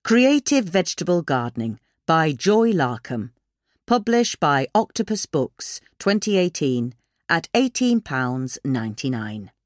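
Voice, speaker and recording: Female narrator for a written feature: highly varied pitch, close dry sound. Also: big pitch swings, unhurried, no room sound. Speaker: reader of a magazine article. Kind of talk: scripted article narration.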